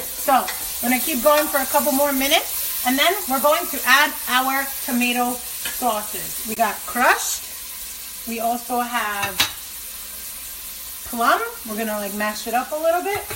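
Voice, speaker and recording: A woman's voice: on the high side, unhurried. A woman hosting a cooking show, chatting and joking with viewers as she cooks in a kitchen. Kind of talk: casual cooking demonstration. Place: kitchen by the stove. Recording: frying sizzle noise in a kitchen.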